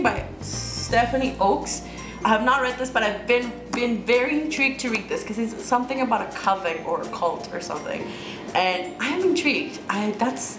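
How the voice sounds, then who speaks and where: A young woman from Mexico, Spanish her first language, chats about her books in a loose, rambling way, often restarting and repeating herself. Her voice sits at an ordinary middle pitch. The room rings around her, and clear background music runs underneath. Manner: chatty, rambling, many restarts and repeated words. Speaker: book vlogger. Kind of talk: casual book haul vlog. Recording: roomy sound with music underneath.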